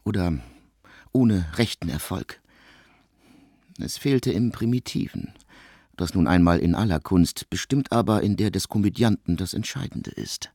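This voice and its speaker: A man's voice: deep voice, measured pace, mellow, husky, dry recording, studio quality, full character voice. An actor playing a sober male chronicler in a literary radio drama, speaking in a formal, considered, judging manner.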